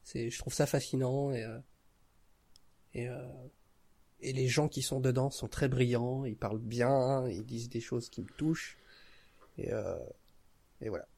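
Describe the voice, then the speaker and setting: A man's voice: medium pitch, fairly even intonation, a little slow, long pauses, darkish tone. A man chatting casually as a podcast guest, hesitant and searching for his words. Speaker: podcast guest, audio drama creator. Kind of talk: casual chat.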